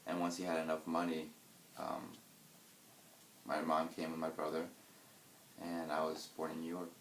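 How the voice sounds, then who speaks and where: Deep-voiced young man, reflective and hesitant. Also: monotone, normal speed, fairly bright tone, echoey room, a little hiss or hum. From United States. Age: 20s. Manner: reflective, hesitant, with fillers and restarts. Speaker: interviewee. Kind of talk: casual interview answers.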